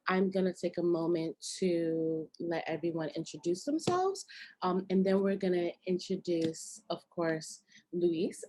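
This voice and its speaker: A woman hosting a video-call meeting, speaking in a relaxed way with many pauses and "um"s. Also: fairly low pitch. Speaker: host, board member and nanny agency owner.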